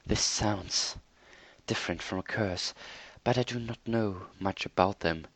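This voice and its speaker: A man voicing a regal night princess pony who speaks in a formal, measured way. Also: low-pitched, a bit bright, dry recording, heavily acted, rough recording. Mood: grave.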